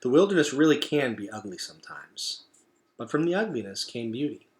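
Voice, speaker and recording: A man reads a children's story aloud as a teacher would. His storytelling is expressive, with a medium-pitched voice that moves up and down in a lively way. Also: a little fast. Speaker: teacher reading aloud to pupils.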